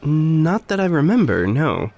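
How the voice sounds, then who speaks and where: A man's voice: on the high side, sing-song, unhurried, darkish tone, exaggerated voice. An actor playing an awkward, earnest, reserved young man in a fiction podcast, speaking naturally with hesitant, careful, formal wording. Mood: uncertain, defensive.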